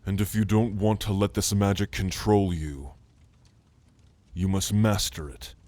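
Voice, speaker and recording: A man voicing a calm, scholarly orc shaman and gentle mentor, speaking in a soothing, measured, formal way in an ASMR roleplay. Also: on the low side, dry recording, close to an everyday voice. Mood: calm, hypnotic.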